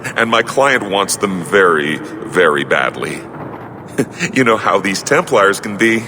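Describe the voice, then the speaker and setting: Jittery dwarf merchant, wildly swooping pitch. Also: male voice, sing-song, unhurried. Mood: anxious, evasive. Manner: jittery, fussy, flustered, over-polite. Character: nervous, polite male dwarf smuggler merchant. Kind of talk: video game dialogue acting. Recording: clear background ambience noise.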